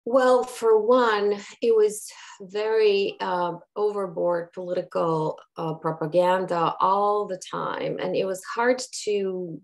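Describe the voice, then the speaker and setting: Woman in her 50s from bulgaria, on a video call, thinks out loud, lots of restarts, mid pitch. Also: steady tone, creaky. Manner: thoughtful, halting with many restarts. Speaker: guest, brand strategist. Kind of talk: conversational interview.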